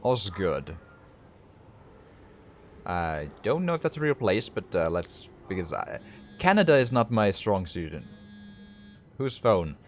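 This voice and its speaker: A man's voice: fairly low pitch, sing-song, takes it slowly, pauses often, highs cut off, a little hiss or hum. A man with a Finnish accent narrating his horror game playthrough, thinking aloud with frequent stammering restarts. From Finland. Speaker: gaming video creator. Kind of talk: horror game let's play commentary.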